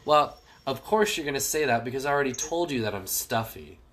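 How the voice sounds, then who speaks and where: A man's voice: medium pitch, expressive, a little fast, fairly bright tone. A man co-hosting a podcast in casual chat, talking with a stuffy nose in a joking, sarcastic way. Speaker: podcast co-host. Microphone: phone call.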